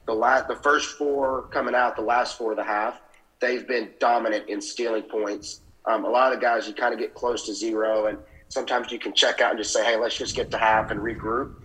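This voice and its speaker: A young man co-hosting a sports podcast, explaining his points in a measured way. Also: mid-range voice, fairly even intonation, a bit bright, rough recording. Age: young adult. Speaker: podcast co-host. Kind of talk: casual sports chat.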